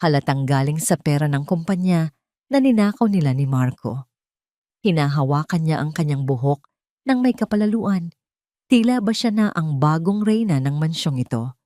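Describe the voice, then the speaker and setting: A woman's voice: sits low, expressive, normal speed, mellow, no room sound, studio quality. A woman reading a scripted first-person story as a dramatic narrator.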